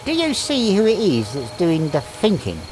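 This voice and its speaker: A man's voice: sits high, wildly swooping pitch, normal speed, darkish tone, slight background noise. A man playing a cheeky talking wooden dummy with a British accent and a mocking, sarcastic manner.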